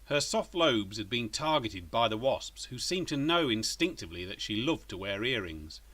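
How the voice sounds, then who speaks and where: A man's voice: medium pitch, very animated, normal speed, pushed and bright. A man narrating a children's story as its author, in a scripted reading style.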